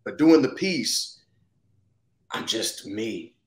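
A man's voice: lowish voice, expressive, takes it slowly. A man in his thirties speaking thoughtfully and casually in a conversational interview over a video call. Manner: thoughtful, casual, punctuated with "you know what I'm saying". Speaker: actor, interview guest. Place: indoor room, video call. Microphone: video call, seated close to the camera.

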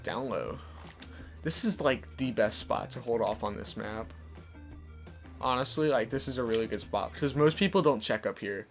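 A young man giving casual, chatty commentary over his gameplay, explaining tips as he plays. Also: normal speed. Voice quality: deeper voice. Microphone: desk condenser mic. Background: soft background music.

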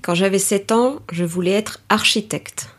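A middle-aged woman, a coach, answering interview questions in a calm, measured way with frequent hesitations. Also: mid-range voice, faint music behind. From France. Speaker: coach, guest. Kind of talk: podcast interview.

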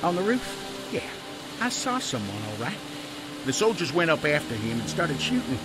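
An actor playing an anxious, agitated railroad worker in a western video game. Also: male voice, a little high, very animated, moderate pace, loud music behind the voice. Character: nervous working railroad man, male, anxious and pleading. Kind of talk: dramatic video game acting.

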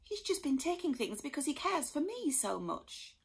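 A woman doing an excitable shrill little boy. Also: moderate pace, creaky, heavily acted. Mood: excited. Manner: high, loud, exclaiming. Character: excitable young boy Harry.

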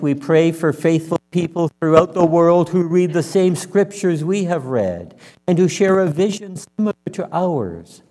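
Slow, mellow older minister, reverberant church. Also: male voice, fairly high pitch. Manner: measured, solemn, deliberate phrasing. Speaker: minister leading worship. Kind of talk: sermon and public prayer. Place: church sanctuary, at the front and pulpit.

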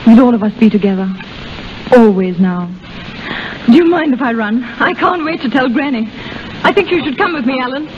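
A woman's voice: a little high, expressive, moderate pace, a little hiss or hum, close to an everyday voice. An actress playing a spirited, affectionate young woman in love in a 1930s radio drama. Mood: eager. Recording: muffled 1930s radio broadcast with music.

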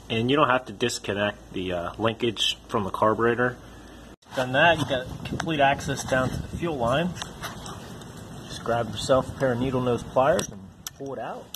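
A man's voice: lowish voice, a bit bright, a little hiss or hum. A man hosting a do-it-yourself channel, walking through a repair step by step in a plainspoken, practical way. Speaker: DIY repair channel host.

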